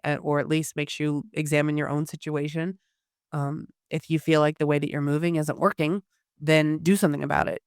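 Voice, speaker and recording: A hoarse woman giving a candid personal health update to her listeners, with frequent pauses and restarts. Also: deep voice, no echo, clean studio sound. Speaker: podcast host.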